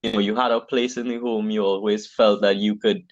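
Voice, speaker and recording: A man from Trinidad, a business founder speaking as a podcast guest in a calm, earnest way. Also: lowish voice, a little fast, no echo. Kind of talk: conversational interview. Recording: dull dry lo-fi sound.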